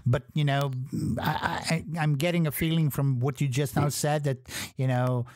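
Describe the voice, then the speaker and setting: A man's voice: on the high side, little pitch movement, normal speed, darkish tone, no echo, clean studio sound. A man from Serbia co-hosting a podcast, talking in a hesitant, cautious way full of fillers. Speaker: podcast co-host. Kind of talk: casual podcast chat.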